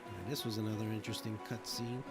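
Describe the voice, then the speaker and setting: Man, fairly low voice, casual gameplay commentator, developer talk, loud music behind him. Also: flat delivery, fast talker. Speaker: commentator, former game cutscene developer.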